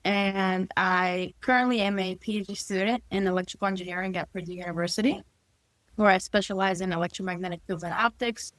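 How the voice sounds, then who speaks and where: A woman's voice: medium pitch, steady tone, brisk, fairly bright tone, no room sound, rough recording. A woman in her twenties from New Jersey, a podcast guest talking earnestly about her studies and drive. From New Jersey, USA. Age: 20s. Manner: earnest, lists achievements steadily. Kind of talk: casual interview talk.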